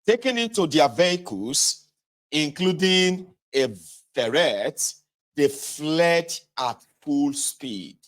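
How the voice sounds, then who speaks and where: A man's voice: a little high, lively intonation, takes it slowly, a bit bright. A man with a Nigerian accent reading a book aloud and adding conversational asides, speaking directly to listeners and sometimes correcting himself. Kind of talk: read-aloud narration with casual asides.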